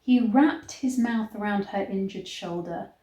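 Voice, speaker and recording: Slow-reading woman in an echoey room. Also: mid-range voice, mellow. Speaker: host reading a story aloud. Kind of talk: dramatic storybook reading with disgusted asides. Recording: echoey, roomy sound.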